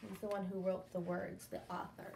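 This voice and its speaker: A woman's voice: fairly low pitch, little pitch movement, normal speed, creaky, background noise. A woman in her thirties, a teacher reading a picture book expressively and explaining it simply for young children. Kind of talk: picture-book read-aloud with questions to children. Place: indoors, small room against a brick-patterned wall.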